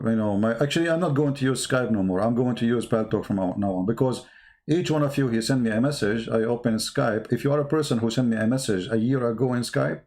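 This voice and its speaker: Arabic accented guy ranting on a livestream, creaky voice, blunt and combative, broken english. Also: medium pitch, a little mellow. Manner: blunt, combative, halting non-native English. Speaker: livestream host, religious polemicist. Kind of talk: casual livestream monologue answering chat comments.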